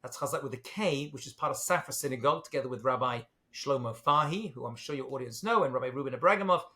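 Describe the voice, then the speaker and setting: A man's voice: medium pitch, fast, sharp tone. A middle-aged man from London with a British accent, talking as a guest in an interview, self-deprecating and joking, with many fillers. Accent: British English, London. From England. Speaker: rabbi, guest. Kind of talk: casual interview talk.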